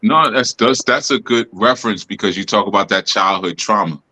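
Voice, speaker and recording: A man's voice: fairly low pitch, normal speed, sharp tone. A man leading a group talk, teaching loosely with laughs and lots of "you know" and "man". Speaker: spiritual group leader.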